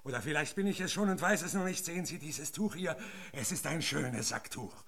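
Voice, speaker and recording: A greedy, aging foreman in a radio drama. His voice sits fairly high, and he speaks in a sly, mocking, insistent way with rough words. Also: expressive, fairly quick, fairly bright tone. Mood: furtive, conspiratorial. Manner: taunting, insistent, rough-spoken. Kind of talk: German radio drama acting.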